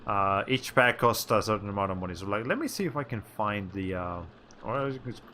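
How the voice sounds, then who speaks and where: A man's voice: lowish voice, lively intonation, fairly quick, pushed and bright, slight background noise. A man from Latin America streaming a game, chatting casually in a rambling, self-correcting way with wry asides. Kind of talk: casual chat while playing a game.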